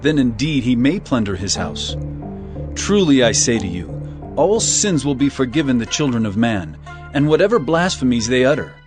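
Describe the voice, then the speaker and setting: Guy acting as a solemn authoritative holy teacher man in an audio bible drama, lively pitch swings, music behind. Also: moderate pace, lightly acted.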